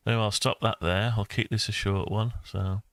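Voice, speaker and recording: A man's voice: lowish voice, fairly even intonation, brisk, fairly bright tone, dry recording. A man in his sixties giving a casual screen-recorded tutorial, rambling and thinking aloud as he goes. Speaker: maker and video host.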